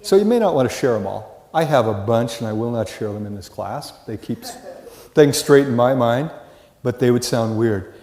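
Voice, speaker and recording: A man's voice: mid-range voice, lively intonation, moderate pace, darkish tone. An older man with an American accent lecturing to a class, folksy and full of rhetorical questions. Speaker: college lecturer. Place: large indoor classroom or lecture hall. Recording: echoey lecture hall with long reverb.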